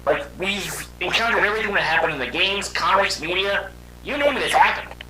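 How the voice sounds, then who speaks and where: A man voicing a weary young robot hedgehog, telling his story in a halting, conversational and dramatic way for a fan audio drama. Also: sits high, expressive, background noise. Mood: hesitant, candid.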